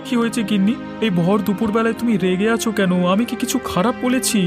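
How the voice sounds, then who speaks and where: A mild young village shopkeeper from a cartoon , acted by a man. His voice is high and he talks briskly, always placating and a little plaintive. Music runs underneath. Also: steady tone, close to an everyday voice. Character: mild, put-upon young village cloth-shop husband. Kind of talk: Bengali cartoon voice acting. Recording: music behind the voice.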